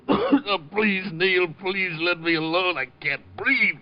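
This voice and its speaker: An actor playing a cowardly wounded gangster who gasps and stammers in rough slang, in old radio crime drama style. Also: male voice, sits high, animated, takes it slowly. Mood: terrified pleading. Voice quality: gasping, laboured breath. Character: dying cowardly wounded gangster, father, desperate and pleading. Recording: dull old 1950 radio broadcast.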